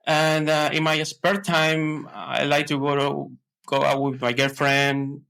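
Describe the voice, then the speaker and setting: A man's voice: on the high side, little pitch movement, takes it slowly, vocal fry, sharp tone, clean tone. A man from Ecuador, answering podcast questions in a hesitant way, with many fillers and restarts. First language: Spanish. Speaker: podcast guest. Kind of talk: casual interview answers.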